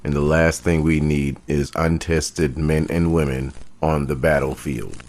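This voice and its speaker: A man playing a resolute, measured superhero team leader with a grave, commanding manner in an audio drama. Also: rumbling low, steady tone, a little mellow.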